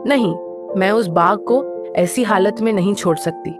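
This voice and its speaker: A woman voicing a young, kind-hearted mermaid in a cartoon story, earnest and expressive. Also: medium pitch, moderate pace, natural voice. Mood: concerned, caring. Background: music.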